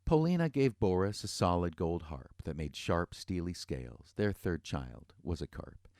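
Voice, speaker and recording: A man's voice: deep voice, expressive, normal speed, darkish tone, no echo, clean studio sound. A man narrating a scripted fairy tale in rhythmic verse, with a wry, tongue-in-cheek delivery. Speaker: storyteller.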